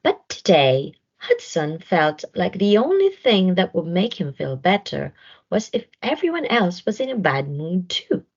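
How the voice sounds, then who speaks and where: A woman reading a children's story aloud in an expressive narration style, on a slightly dull recording. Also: on the low side, a little slow, breathy edge. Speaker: storybook reader.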